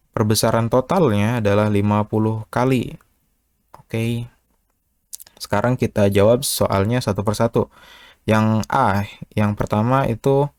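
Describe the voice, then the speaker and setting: A man's voice: on the low side, darkish tone, dry recording, clean studio sound. A man, working through a physics problem patiently and step by step as an online tutor, often adding "eh" and "ya". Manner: patient, methodical, with filler "eh" and "ya".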